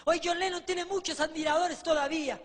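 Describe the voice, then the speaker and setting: A man with an extremely high voice delivers a sermon as vivid testimony. His manner is fervent, punctuated by rhetorical questions to his listeners. Long reverberation from a large hall trails his words. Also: fairly even intonation, brisk. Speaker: preacher giving a testimony.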